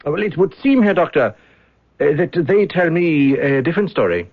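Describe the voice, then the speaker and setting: An actor playing a courteous, probing German police inspector with a German accent and hesitant, formal politeness in a radio drama. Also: male voice, a little high, very animated, moderate pace. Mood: politely probing. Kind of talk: 1970s radio drama acting. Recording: dull lo-fi old radio recording.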